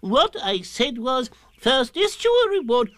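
A man voicing a fussy, self-important owl in a children's storybook, speaking in a formal, drawn-out way. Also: extremely high pitch, big pitch swings, a bit bright, dry recording, voice pushed up, exaggerated voice. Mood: pompous, insistent. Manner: pompous, formal, drawn-out delivery.

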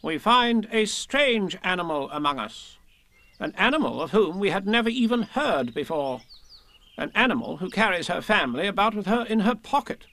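A high-pitched, bossy storybook rabbit. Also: male voice, sing-song, moderate pace, sharp tone, exaggerated voice. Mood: exasperated. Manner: emphatic, officious. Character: bossy, brisk, exasperated male rabbit who takes charge. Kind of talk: children's storybook character voice.

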